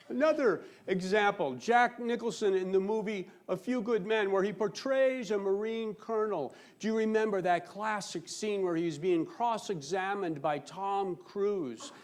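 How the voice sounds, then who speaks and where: A man with a notably high voice delivers a humorous storytelling speech on stage. He boasts with a straight, mock-serious air and leans on theatrical pauses for effect. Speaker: speech contest competitor telling a comic tall tale. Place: live on stage before a laughing audience.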